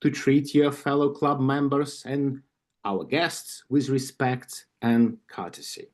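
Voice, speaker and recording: A man with a Ukrainian accent reading an induction script on a video call, slowly and carefully, with asides and small self-corrections. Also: medium pitch, steady tone. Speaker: club vice president of membership. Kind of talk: reading an induction ceremony script at an online club meeting.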